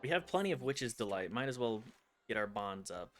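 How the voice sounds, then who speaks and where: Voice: mid-range voice, big pitch swings, fairly quick. Casual: streamer rambling over gameplay, bouncy up-and-down voice, game music faint behind. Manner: chatty, self-deprecating, talks to the game.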